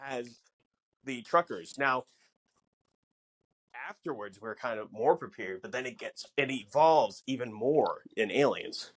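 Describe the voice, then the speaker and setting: A man co-hosting a podcast, explaining things casually in halting, piecemeal phrases. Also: medium pitch, expressive, fairly bright tone, no room sound, rough recording. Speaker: podcast co-host.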